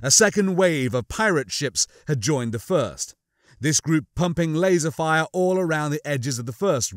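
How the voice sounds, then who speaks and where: Animated male audiobook narrator. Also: on the high side, no room sound, clean studio sound. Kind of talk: dramatic scripted narration of a science fiction novel.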